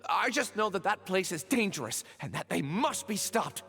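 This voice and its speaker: Freaked out young researcher, desperate and halting, voice jumps around, quiet game music. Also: male voice, high voice, sing-song, vocal fry, full character voice. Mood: shaken. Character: frightened young male researcher, shaken and insistent. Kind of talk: video game voice acting. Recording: game audio under music.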